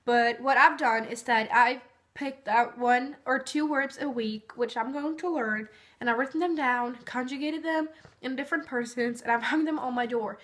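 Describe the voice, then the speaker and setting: A woman's voice: high-pitched, moderate pace, fairly bright tone. A young woman from Norway, a native Norwegian speaker, giving casual tips in a chatty vlog full of fillers. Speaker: vlogger.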